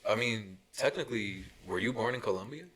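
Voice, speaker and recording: A young man with a low voice talks fast and sure of himself. He explains points to his friends in a casual podcast chat and keeps asking them questions. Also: poor audio. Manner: explaining, asks the group questions.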